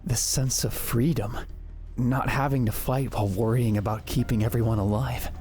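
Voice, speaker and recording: A young male adventurer played by a man with a medium-pitched voice, sardonic and casual. He tells his story like an anime protagonist in a manga dub, over background music. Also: steady tone, normal speed, a little mellow, lightly acted. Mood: wry, narrating. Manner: casual, wry. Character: young male hero-healer adventurer, sardonic, easily flustered. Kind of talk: anime-style manga dub acting and first-person narration.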